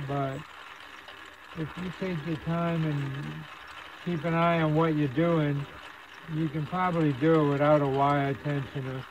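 A man casually narrating a hands-on repair demonstration at his workbench, with an unhurried, folksy manner. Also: a little high. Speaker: hobbyist host demonstrating radio repair. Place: indoor electronics workbench. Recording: clear background machine whir and noise.

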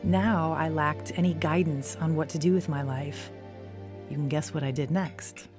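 A woman's voice: deep voice, steady tone, moderate pace, music in the background, close to an everyday voice. An actress playing a troubled, searching young woman in an audio drama, earnest and conversational. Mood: reflective. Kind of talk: audio drama narration and acting.

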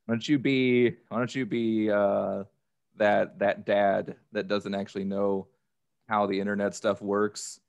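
A man's voice: on the low side, flat delivery, measured pace, vocal fry. A man in his thirties chatting casually on a podcast, close to the microphone. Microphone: close microphone, headset on.

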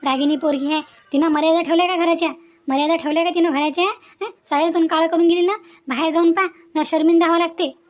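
A woman's voice: extremely high pitch, fairly even intonation, fast. A woman voicing a spiteful, indignant young daughter-in-law in a cartoon family quarrel, scolding and repeating herself with rhetorical questions. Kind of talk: Marathi family cartoon dialogue.

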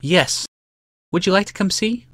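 A man's voice: high-pitched, animated, measured pace, fairly bright tone, no room sound, studio quality, pitched above the performer's own voice, full character voice. A man voicing a sly, smug otherworldly woman. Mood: teasing. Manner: teasing, matter-of-fact.

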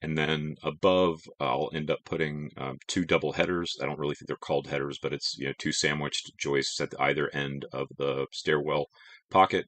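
A middle-aged man calmly explaining his house framing work outdoors on a build site, pausing often with "uh". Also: extremely low pitch, dry recording. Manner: measured, explanatory, frequent "uh" fillers. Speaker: homestead builder. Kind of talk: casual build narration.

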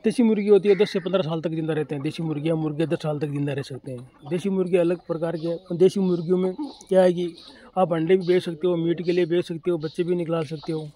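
A man's voice: a little high, steady tone, fast, dark tone. A man from Rajasthan, a poultry farmer talking casually and repetitively to viewers, recorded outdoors on his farm. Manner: plain, repetitive, talks straight to viewer. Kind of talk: casual explainer vlog. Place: outdoors on open farm with chickens. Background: chickens clucking, faint noise.

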